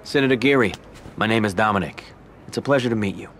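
A man playing an ambitious, composed young mobster in a video game cutscene, measured and businesslike. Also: fairly low pitch, fairly bright tone, slight background noise, lightly acted. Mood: courteous, businesslike. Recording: game audio with background ambience.

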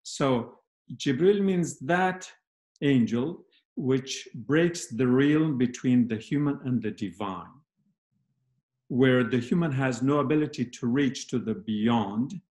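Slow, measured man on a roomy video call. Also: medium pitch, darkish tone. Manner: slow, measured, many pauses and fillers. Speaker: panel guest. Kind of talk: reflective discussion on a video call.

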